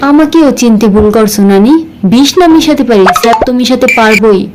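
A woman's voice: a little high, quick pace, lightly acted. A woman voicing a cheeky young granddaughter in a comic cartoon, disapproving and slyly defiant. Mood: sly, muttering. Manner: sassy, scolding. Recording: clearly noisy track with clicks.

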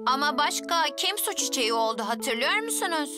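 Small boy twin, voiced by a woman, earnest and competitive, very high childlike voice, music underneath, dubbed kids' show. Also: big pitch swings, a little fast. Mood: cheerful presenting.

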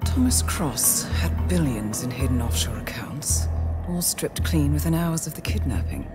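An actress playing a composed, businesslike intelligence handler, giving formal, measured, articulate mission briefings in a video game. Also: female voice, sits low, lively intonation, over music. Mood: grave, guarded.

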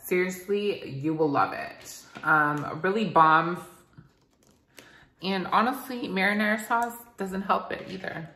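A woman's voice: on the low side, slow, sharp tone. A young woman in her twenties chatting casually to camera in a vlog, full of "like" and "um" fillers, recorded on a phone held at arm's length. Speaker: vlogger. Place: home kitchen indoors, later a rooftop terrace outdoors. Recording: ambient background noise.

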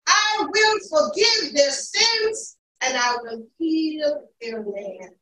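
High-voiced woman praying fervently. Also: animated, extremely slow. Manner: impassioned, rising to shouts of praise. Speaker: prayer leader. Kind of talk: fervent spoken prayer. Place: church sanctuary at a pulpit. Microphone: pulpit mic, recorded by far camera. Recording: echoey church from far off.

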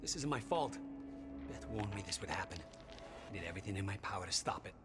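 A fretful, secretive man on the run in a video game, played by a male actor. His voice swings in pitch as he insists and defends himself over loud music. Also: lively intonation, fast talker, natural voice. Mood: defensive. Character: anxious, defensive, secretive older brother on the run. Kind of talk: dramatic video game acting.

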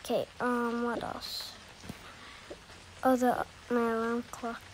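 Over clear background noise, a woman plays a busy mother in a pretend-play game story. Her voice is high and her pace is unhurried. She murmurs hesitantly, as if thinking aloud while organising the family. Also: monotone. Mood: busy, distracted.

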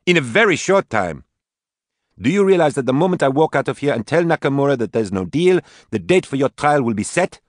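A male narrator puts on a bitter young woman, voice pushed up high and loud, snapping and swearing at her mother. the booth is dead dry with no room sound at all. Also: quick pace, no room sound, natural voice. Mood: angry. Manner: sharp, pleading, swearing outbursts. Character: furious grown daughter, young woman, bitter and tearful.

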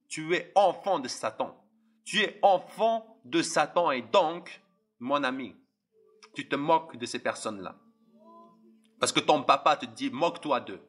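A young man from Algeria delivers a fervent testimony, circling back on his phrases and addressing the listener head-on. His voice sits somewhat high, with a tense, husky edge. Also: a bit bright. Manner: impassioned, repetitive, addresses listeners directly. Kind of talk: preaching testimony.

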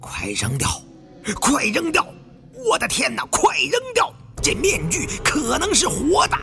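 A man's voice: very high-pitched, wildly swooping pitch, unhurried, vocal fry, bright, forceful tone, faint music behind, pitched above the performer's own voice, heavily acted. A man voicing a cautious, learned male advisor to tomb raiders in an audiobook. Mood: panicked.

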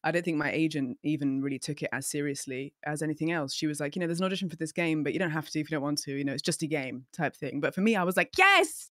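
Expressive woman, dry close mic. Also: low-pitched, quick pace, few pauses, dry recording. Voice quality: expressive, animated storyteller. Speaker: guest. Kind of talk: casual podcast chat. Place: home room, indoors. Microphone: studio mic on a boom arm, video call.

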